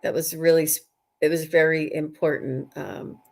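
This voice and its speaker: Lady doing psychic readings on a live chat, slightly low voice, kinda halting, repeats herself while thinking. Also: fairly even intonation, gravelly, darkish tone. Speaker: psychic reader hosting a live stream. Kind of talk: casual live-stream chat, giving readings to viewers.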